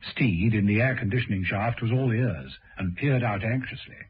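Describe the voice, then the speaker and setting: A man's voice: fairly low pitch, moderate pace, slight background noise. A man narrating a vintage radio serial in a dramatic, suspenseful scripted style. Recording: muffled old radio broadcast recording.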